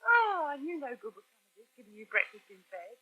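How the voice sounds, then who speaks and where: A brisk English woman in an old radio soap, sarcastic and bossy. Her voice is bright and fairly high, and it swings widely in pitch as she speaks. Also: big pitch swings, exaggerated voice, poor audio. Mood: brisk, scolding. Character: brisk, sharp-tongued English woman scolding her man. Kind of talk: 1970s radio soap acting.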